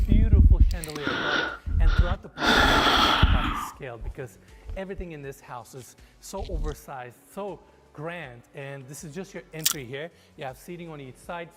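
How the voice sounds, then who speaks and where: A man hosting a luxury home tour, enthusiastically walking through and listing the property's features. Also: a little high. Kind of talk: scripted property tour narration. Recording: loud background noise in the clip.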